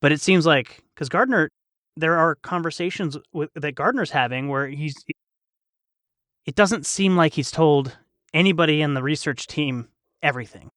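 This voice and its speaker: A man's voice: on the high side, moderate pace, fairly bright tone, no room sound, studio quality. A man in a casual panel discussion, thinking aloud as he analyzes and explains. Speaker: cast member in a behind-the-scenes discussion.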